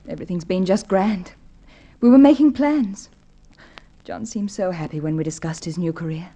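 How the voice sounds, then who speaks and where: A polite, trusting newlywed from a golden-age radio mystery, played by a woman. Her voice is soft-edged and mellow, with an earnest delivery. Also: fairly low pitch, normal speed, natural voice. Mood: earnest, bright. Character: trusting, polite newlywed wife, bewildered by tragedy. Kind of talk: old-time radio crime drama acting.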